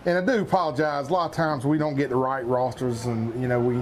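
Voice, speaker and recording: Middle-aged man, hoarse, joking color commentator, mid-range voice. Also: mid-range voice, flat delivery, fast, a little hiss or hum, rough recording. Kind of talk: local football commentary banter.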